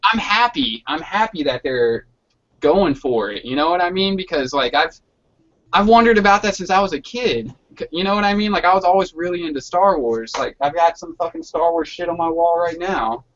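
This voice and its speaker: A man's voice: a little high, lively intonation, normal speed, fairly bright tone. A man chatting casually in slangy, sweary language over an online hangout call with dull, lo-fi sound. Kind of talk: casual chat. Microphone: online video hangout.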